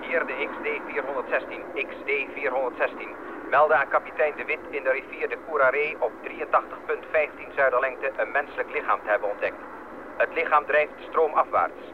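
Tinny radio voice of a pilot. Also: male voice, medium pitch. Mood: grave, formal. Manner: formal, measured reporting. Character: search plane pilot reporting a grim find. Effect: aircraft radio. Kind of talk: radio drama acting. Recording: thin phone-like radio, engine noise.

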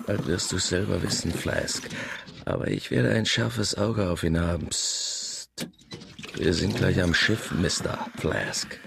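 An actor playing a gruff, superstitious whaling mate in a radio drama, gravelly and grumbling in a conspiratorial way. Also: male voice, low-pitched, slow, exaggerated voice. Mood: wary grumbling. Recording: clearly noisy sound-effects bed.

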